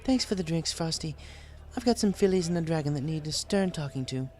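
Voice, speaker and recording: A man voicing a refined, poised female pony in a storybook reading, with a formal, polite and gently teasing manner. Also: on the high side, lively intonation, brisk, pitched above the performer's own voice, close to an everyday voice. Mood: warm, fond.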